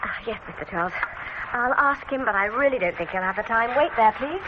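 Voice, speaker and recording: Actress as polite firm receptionist, animated intonation, businesslike, radio play, clearly noisy recording. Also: female voice, on the high side, fairly quick, lo-fi sound, close to an everyday voice. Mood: politely firm. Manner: prim, apologetic, businesslike. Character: polite, firm, apologetic female dental receptionist. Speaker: dental receptionist. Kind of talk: radio drama acting.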